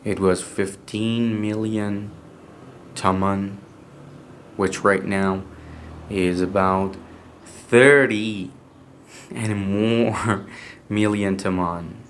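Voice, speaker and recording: A young man with an Iranian accent talks slowly through a personal story in English, his second language, stopping and restarting often. His voice sits fairly low, and a faint hum of ambient noise hangs behind him. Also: fairly even intonation. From Iran. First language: Persian. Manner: halting, hesitant English with many restarts and fillers. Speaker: podcast speaker.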